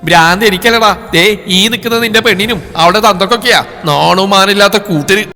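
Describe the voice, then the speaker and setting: A man voicing an angry middle-aged mother-in-law who shouts and scolds. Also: high-pitched, a little fast, pitched above the performer's own voice. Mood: furious. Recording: long reverb, faint music behind.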